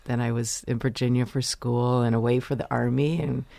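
Measured woman explaining policy, dry sound. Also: extremely low pitch, flat delivery, creaky, warm tone, dry recording. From United States. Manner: measured, explanatory, pauses to choose words. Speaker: university vice president, interview guest. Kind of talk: interview answers.

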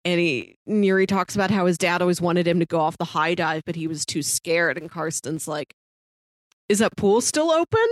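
A woman co-hosting a podcast, chatting casually about television and telling things in an animated way, often with a chuckle. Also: fairly low pitch, moderate pace, no room sound. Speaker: podcast co-host. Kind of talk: casual TV review chat.

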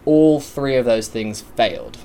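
A man from England with an English accent carrying an Australian twang, chatting casually as a podcast guest, upbeat and quick to laugh. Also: warm tone, slight background noise. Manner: upbeat, laughs often, breaks into high laughs. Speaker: podcast guest, content creator. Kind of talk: casual chat.

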